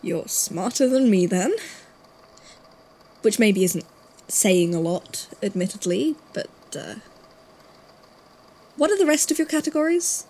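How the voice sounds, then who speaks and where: Woman acting a husky, mellow questioner. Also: mid-range voice, animated, a little slow, pauses often, rough recording. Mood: curious, dry. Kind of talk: podfic audio drama acting.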